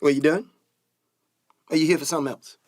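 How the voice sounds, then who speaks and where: A man's voice: a little high, fairly even intonation, brisk, a little mellow, no echo, natural voice. Man acting a cocky young flyer, dry sound. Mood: cocky, teasing. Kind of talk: stage-play dialogue for radio.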